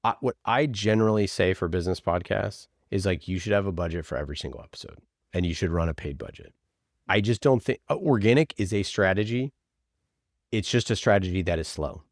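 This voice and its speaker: A man's voice: deep voice, lively intonation, brisk, no echo, studio quality. A man giving conversational marketing advice as an interview guest, informal, opinionated and emphatic, often voicing imagined quotes. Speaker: podcast producer, interview guest.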